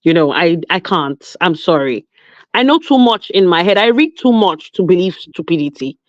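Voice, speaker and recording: Nigerian woman, expressive, emphatic commentator; lo-fi, dry recording. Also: fairly low pitch, normal speed, dry recording. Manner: animated, emphatic storytelling with frequent restarts. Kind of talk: casual online chat. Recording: dull lo-fi audio.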